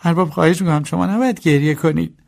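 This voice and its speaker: A man's voice: fairly low pitch, gravelly, dark tone, slightly rough. A man voicing an anxious, servile driver who speaks deferentially and pleadingly to his master, in a dramatized audiobook.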